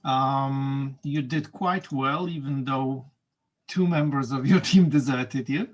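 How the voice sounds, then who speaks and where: A man moderating an online school video meeting, relaxed and chatty, with many fillers and small laughs. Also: medium pitch, slow pace. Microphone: video call.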